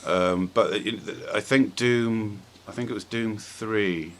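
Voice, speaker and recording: Deep-voiced man rambling through casual chat. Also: takes it slowly, fairly bright tone. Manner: rambling, tentative, thinking aloud. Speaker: podcast host. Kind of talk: casual film and game chat. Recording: clearly audible background noise.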